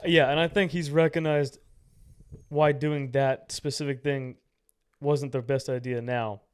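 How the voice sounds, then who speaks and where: A young American man co-hosting a podcast in relaxed casual chat, full of slang and quick agreement. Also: mid-range voice, smooth. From United States. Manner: laid-back, lots of "yeah" and slang. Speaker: podcast co-host.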